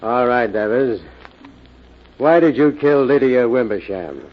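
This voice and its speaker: An actor playing a firm, matter-of-fact police inspector in an old-time radio crime drama. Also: male voice, mid-range voice, expressive, unhurried, close to an everyday voice. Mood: firm, official. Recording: muffled old radio recording.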